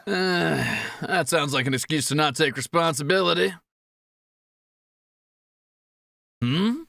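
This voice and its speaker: Male actor as a greedy fantasy rogue, higher-pitched, swooping delivery, sarcastic, teasing, swaggering. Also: normal speed, gravelly, no room sound, studio quality, full character voice. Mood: defensive. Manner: sarcastic, animated, swaggering banter. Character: cocky, greedy, teasing male thief adventurer. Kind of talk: fantasy audio roleplay acting.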